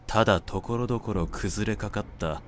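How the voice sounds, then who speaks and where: Man, lowish pitch, slow literary narration, measured phrasing, dramatic pauses, background ambient noise. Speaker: narrator reading literature aloud.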